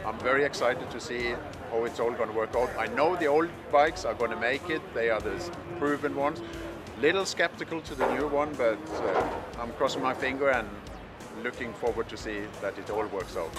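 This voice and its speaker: A man's voice: mid-range voice, normal speed, over loud music. A man organizing a motorcycle tour, speaking upbeat and hopeful in a documentary interview.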